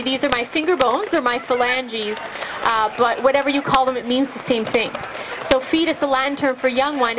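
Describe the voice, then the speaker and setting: A woman debating at an outdoor campus open mic, pressing her point with rhetorical questions. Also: sits high. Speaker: pro-life speaker at open mic. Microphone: handheld microphone through speaker. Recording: tinny lo-fi with loud background noise.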